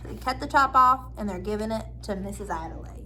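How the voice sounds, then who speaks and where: A woman reading a children's picture book aloud expressively, pausing for simple asides to listeners. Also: medium pitch, lively intonation, sharp tone, slight background noise, rough recording.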